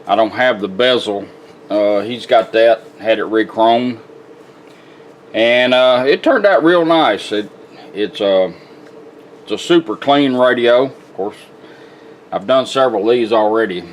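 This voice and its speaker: A man's voice: lowish voice, unhurried, pauses often, a little hiss or hum, rough recording. A plainspoken, folksy man giving a casual product demonstration as a radio restorer. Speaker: radio restorer presenting his work.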